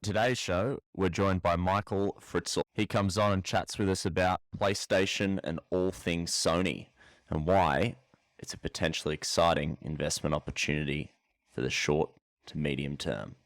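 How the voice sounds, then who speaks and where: A man's voice: low-pitched, fairly even intonation, normal speed, no echo. A man hosting an investing podcast, reading an upbeat scripted intro and then asking chatty questions. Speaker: podcast host. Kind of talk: hosting an interview.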